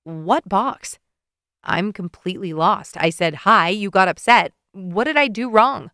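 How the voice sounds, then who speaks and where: An easygoing, puzzled young man, as a woman reader puts him on, dropped lower and softer than the telling voice. the sound is close and dry, no echo. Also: measured pace.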